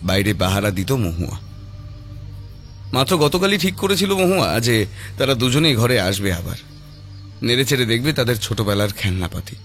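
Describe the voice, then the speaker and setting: A man narrating a scripted horror story dramatically, with suspenseful, measured phrasing. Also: medium pitch, very animated. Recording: loud music behind.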